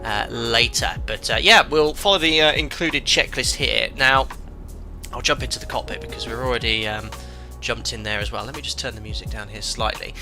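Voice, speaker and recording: A man hosting a live flight-sim stream, talking casually with a bright, sharp edge to his voice. He sounds croaky and bunged up from a cold, and hums of "uh" fill his sentences. Soft music plays behind him. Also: medium pitch, moderate pace. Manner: chatty, many filler ums and uhs. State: congested from a cold. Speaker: streamer and airline pilot.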